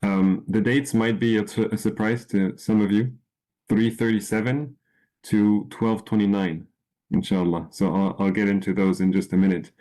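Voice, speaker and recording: Male lecturer from France, measured and halting, fairly low voice; walks a group through slides. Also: darkish tone, rough recording. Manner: unhurried, with frequent ums and restarts. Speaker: presenter. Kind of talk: informal presentation.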